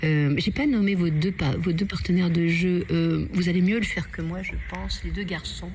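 A woman hosts the interview. She chats in a relaxed way, laughs easily and leaves her sentences hanging. A faint hum runs under her voice. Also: on the low side, moderate pace. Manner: chatty, laughs often, trails off mid-sentence. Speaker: radio interviewer. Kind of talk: casual interview.

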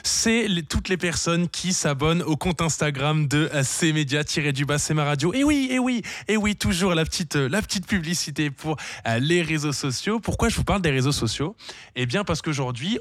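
A man from France hosting a casual radio show from a studio, chatting with listeners and often pausing on "euh". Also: a little high, dry recording. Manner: chatty, lively, many fillers. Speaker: radio host.

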